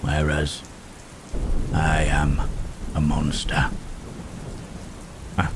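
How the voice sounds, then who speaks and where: Gentle, melancholy monster, played by a man for ASMR roleplay: extremely low, slow, formal and hesitant, rain and thunder behind. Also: completely flat delivery, exaggerated voice. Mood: pensive. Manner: halting, thoughtful, old-fashioned formal phrasing with murmured tags. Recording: rain and thunderstorm noise throughout.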